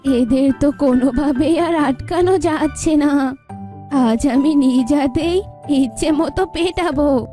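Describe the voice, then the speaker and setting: A woman's voice: high voice, slow, warm tone, voice pushed down. A woman playing a cranky old village woman in a cartoon, grumbling and exasperated, with music behind. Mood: annoyed. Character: cranky old village woman guarding her pomegranate orchard. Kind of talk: cartoon voice acting.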